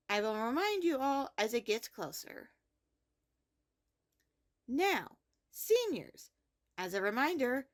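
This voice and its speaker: A woman playing a caring, orderly high school principal giving scripted morning announcements in a fantasy series.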